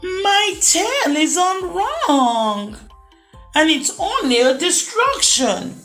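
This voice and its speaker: A woman's voice: extremely high pitch, sing-song, very slow, over music, pitched above the performer's own voice, exaggerated voice. A woman voicing a sweet young boy elephant in a children's storybook, upset and childlike. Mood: wailing, upset. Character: young sweet elephant, upset about his odd tail.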